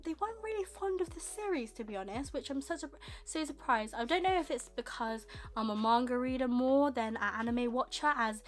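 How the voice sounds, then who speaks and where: A young woman with a slightly husky voice from a tickly throat, rambling casually through a manga haul in her bedroom. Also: high-pitched. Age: young adult. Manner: rambling, chatty, quick asides. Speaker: manga vlogger. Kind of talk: casual chatty haul vlog. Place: bedroom with manga shelves, indoors.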